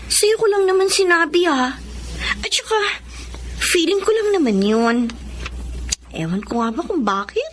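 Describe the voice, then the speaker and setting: A young Filipina daughter in a radio drama, suspicious and excitable. Her voice sits very high and jumps about with lively swings. The track has clear background noise. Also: a little slow, pauses often, heavily acted. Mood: uneasy, suspicious. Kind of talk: Tagalog radio drama acting.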